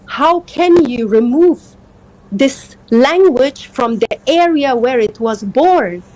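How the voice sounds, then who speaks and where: A woman from Afghanistan, a native Persian speaker, in a live political discussion, speaking earnestly and haltingly as she searches for words. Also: high voice, expressive, a little mellow, low-quality recording. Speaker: interview guest.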